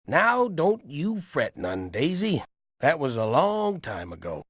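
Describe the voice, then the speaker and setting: A man's voice: on the high side, wildly swooping pitch, slow, fairly bright tone, slightly rough, no echo, studio quality, full character voice. A man playing folksy, reassuring country kinfolk with a drawling Southern US accent in a video game. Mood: soothing. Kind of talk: video game cutscene acting.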